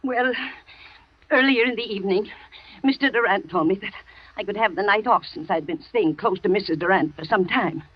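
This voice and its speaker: An actress playing a loyal housekeeper in a 1940s radio thriller, talking with a nervous, shaky manner on a dull old broadcast. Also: female voice, mid-range voice, moderate pace, lightly acted. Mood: shaken. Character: frightened loyal housekeeper woman, middle-aged. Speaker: housekeeper and nurse.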